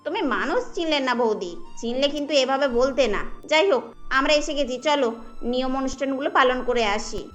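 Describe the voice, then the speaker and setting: A woman's voice: sits high, moderate pace, faint music behind, natural voice. A woman voicing a kind, tactful aunt in a cartoon drama, earnest and conciliatory. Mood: reproving. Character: kind, tactful aunt, gently reproving peacemaker. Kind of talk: melodramatic cartoon dubbing.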